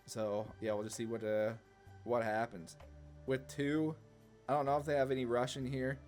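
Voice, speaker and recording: A man's voice: mid-range voice, little pitch movement, moderate pace, darkish tone, soft background music. A man giving casual, slangy card game commentary, thinking aloud hesitantly as he plays. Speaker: gaming channel host.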